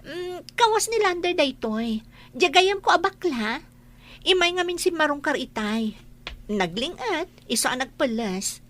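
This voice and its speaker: A woman's voice: high-pitched, big pitch swings, measured pace, fairly bright tone, exaggerated voice. A Filipino woman with an Ilocano accent playing a sly, sweet-talking girlfriend in an audio drama, with a coaxing, theatrical delivery. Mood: flustered, evasive.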